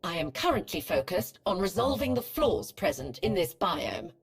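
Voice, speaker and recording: A woman's voice: on the low side, very animated, moderate pace, vocal fry, slightly rough, heavily acted. A woman playing a cold, ruthless female Sith research hologram in a video game, speaking in a formal, detached, clinical manner. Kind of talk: scripted video game acting.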